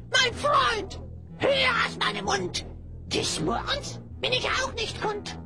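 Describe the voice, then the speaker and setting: An actor playing a sly, servile hyena spy in a fantasy audio drama, speaking in rhymes with a creaky, cackling voice. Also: very high voice, slow pace, music in the background, exaggerated voice. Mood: gleeful, sly.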